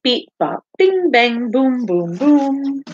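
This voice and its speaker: A middle-aged woman teacher reading a picture book aloud to children, with deliberate sing-song emphasis on repeated words. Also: high voice, big pitch swings, lo-fi sound, background noise. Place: home kitchen, indoors.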